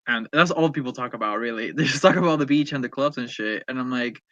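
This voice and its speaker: Chill young guy from peru on a podcast, bright voice, kinda muffled dry mic. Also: medium pitch, fast, no room sound. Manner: laid-back, laughing, profane. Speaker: podcast co-host. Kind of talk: casual chat.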